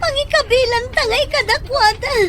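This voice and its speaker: A woman's voice: extremely high pitch, moderate pace. A woman acting an earnest mother in an Ilocano radio drama, with an Ilocano accent. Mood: alarmed. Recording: noisy radio drama recording, ambient sound effects.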